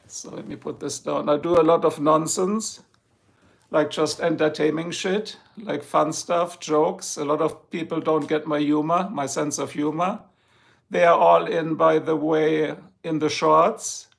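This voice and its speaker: A man's voice: fairly high pitch, fairly even intonation, unhurried, vocal fry. An older man from Germany with a German accent, hosting a rambling, jokey live stream chat from home and talking to his viewers by name. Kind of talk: casual chat. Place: home, in Ohio.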